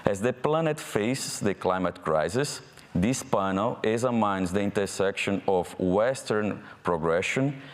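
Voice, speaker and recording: A man from Brazil with a Brazilian Portuguese accent moderating a live panel, friendly and halting, with fillers. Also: on the low side, lively intonation. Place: event hall. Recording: hall reverb from a live event.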